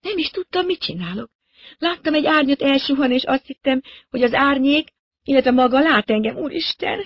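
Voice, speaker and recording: A man voicing a frightened, breathless young woman pilot in an audiobook, talking in a high, excited rush. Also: no room sound, clean studio sound. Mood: shaken. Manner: high, excited, rushed pleading.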